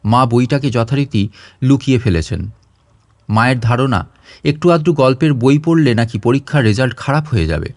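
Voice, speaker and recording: A man's voice: medium pitch, moderate pace, darkish tone, no room sound, clean studio sound. A man reading a scripted short story as an audio narrator.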